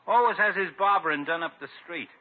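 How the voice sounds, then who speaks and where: Actor as a slangy barber, slightly high-voiced. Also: male voice, brisk, background noise, lightly acted. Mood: egging on. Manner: slangy, ungrammatical, wisecracking. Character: cocky, folksy small-town barber, scrappy and loyal. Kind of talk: 1950s radio sitcom acting. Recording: muffled narrow-band 1950s radio recording.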